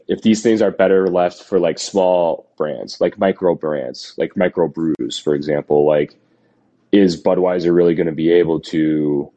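A man co-hosting a podcast, a little hoarse from being sick, musing skeptically in casual chat. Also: rumbling low, mellow, muffled recording. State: slightly losing his voice, sick. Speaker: podcast co-host.

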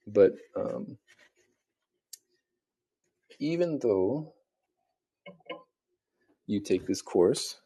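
A man's voice: low voice, dark tone, breathy edge. A man hosting a casual solo podcast, rambling with lots of fillers and restarts while making breakfast. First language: not Spanish. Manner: rambling, filler-heavy, self-correcting. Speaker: podcast host.

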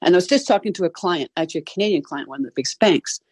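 Danish-accented woman, brisk and husky. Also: fairly low pitch, animated, darkish tone, dry recording, clean studio sound. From Denmark. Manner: brisk, frequent "right?" tags. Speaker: gender equality consultant. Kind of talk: casual panel discussion.

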